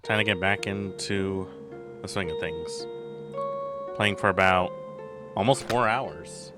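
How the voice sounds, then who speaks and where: Low voiced guy streaming a game, talks to himself, repeats stuff when flustered, music going behind him. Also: slow. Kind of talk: casual live gameplay commentary.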